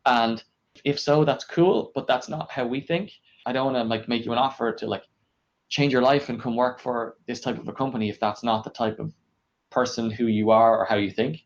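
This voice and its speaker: A man explaining his work in a conversational interview, measured and explanatory, as a podcast guest. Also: mid-range voice, steady tone. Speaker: podcast guest, engineering director. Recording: dull, lo-fi podcast audio.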